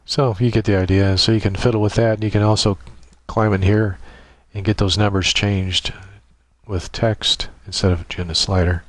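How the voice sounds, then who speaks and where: A man narrating an informal step-by-step software tutorial, methodically explaining and thinking aloud as he demonstrates. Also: fairly low pitch, little pitch movement, normal speed, slight background noise.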